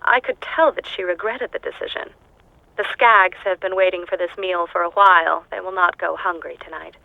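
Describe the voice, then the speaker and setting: A woman's voice: fairly low pitch, fairly even intonation, normal speed, gravelly. An actress voicing a lonely, unhinged woman scientist who is recording audio logs alone on a hostile planet. She speaks in a dry, clipped, detached way that drifts into manic glee. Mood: cold. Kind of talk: game audio log monologue. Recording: dull lo-fi game audio log.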